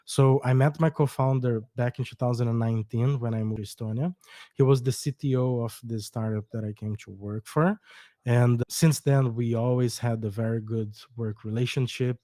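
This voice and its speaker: A man's voice: medium pitch, fairly even intonation, normal speed, dark tone, no echo, studio quality. A young adult man from Brazil with a Brazilian Portuguese accent, a startup co-founder explaining his company earnestly in a podcast interview.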